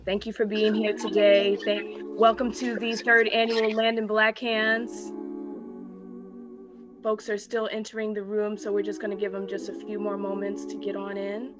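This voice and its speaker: Woman host, mid-pitched, relaxed welcoming remarks over music. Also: normal speed, dull audio. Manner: friendly, casual, welcoming. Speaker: event host. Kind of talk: welcoming remarks at a community event.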